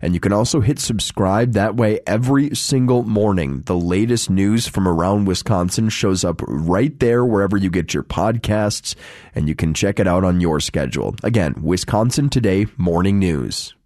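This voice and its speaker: A man announcing station promos and the weather on public radio in a steady announcer style. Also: low-pitched, moderate pace, no room sound, clean studio sound. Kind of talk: radio continuity and weather report.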